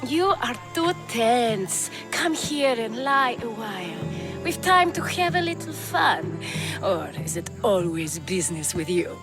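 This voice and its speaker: Female game role: teasing, flirtatious Greek lover, higher pitch, languid delivery over music. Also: sing-song, slow. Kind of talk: video game dialogue acting.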